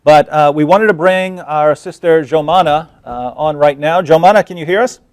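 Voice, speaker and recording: Higher-voiced man hosting, very dry sound. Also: moderate pace, dry recording. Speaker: host interviewing guests. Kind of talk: hosted interview talk show.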